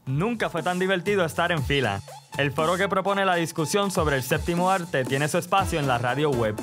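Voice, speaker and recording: Male promo announcer, slightly high pitch, scripted read over a clear music bed. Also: brisk.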